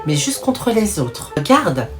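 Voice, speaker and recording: A woman's voice: fairly low pitch, very animated, slow pace, music in the background. A woman from France hosting a reaction video, commenting in an outspoken, mocking, exasperated way. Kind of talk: casual commentary over clips.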